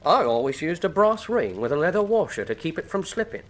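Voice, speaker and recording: A man's voice: on the high side, very animated, brisk. An actor playing a matter-of-fact, working-class retired hangman in a radio drama, with a folksy, plain-spoken, proud manner. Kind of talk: full-cast radio mystery drama acting.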